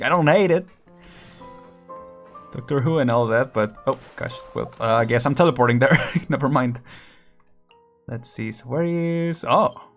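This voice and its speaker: A man's voice: mid-range voice, measured pace, pauses often, faint music behind. A man casually commenting while streaming a story game, chatty, thinking aloud and laughing often.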